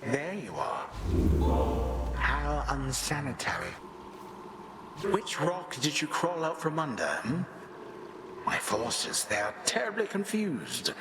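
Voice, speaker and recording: A man's voice: fairly high pitch, big pitch swings, takes it slowly, long pauses. A man voicing a sneering, mock-polite tyrant Titan in a video game, condescending and theatrical. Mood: contemptuous. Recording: echoing, noisy ambience behind.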